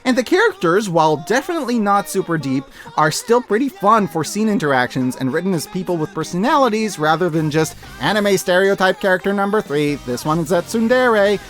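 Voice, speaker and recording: Male reviewer, high register, very expressive swings, emphatic and wry, casual scripted commentary over music. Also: brisk, hardly any pauses. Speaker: video reviewer.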